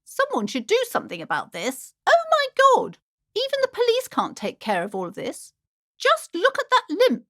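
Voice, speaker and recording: A superstitious woman who runs a bookshop in a video game. Her high voice swoops dramatically up and down as she frets and preaches. The delivery is theatrical and anxious, in a very dry, close recording. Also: huge pitch swings, moderate pace, fairly bright tone, no room sound, full character voice. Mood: appalled. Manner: theatrical, fretful, swinging between gushing and alarm.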